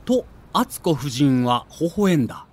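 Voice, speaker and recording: A man's voice: fairly high pitch, big pitch swings, unhurried, husky, no room sound. A man reading a scripted documentary narration with a theatrical storyteller's delivery.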